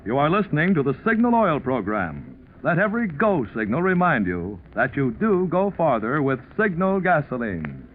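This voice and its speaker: A male 1940s radio announcer with a hugely animated, slightly high voice reads a sponsor message. Music plays behind him on a narrow vintage recording. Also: normal speed. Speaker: program announcer.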